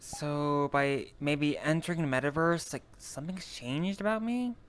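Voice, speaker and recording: A man's voice: a little high, moderate pace, a bit bright, clean tone, natural voice. A man playing a puzzled human newcomer to magic in an improvised virtual-reality roleplay, talking hesitantly and questioningly.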